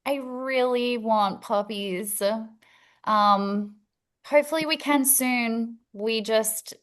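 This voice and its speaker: Woman chatting warmly. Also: a little high, slow. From Italy. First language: Italian. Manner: open, chatty, laughs often. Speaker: featured guest educator. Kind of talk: casual chat on a video call.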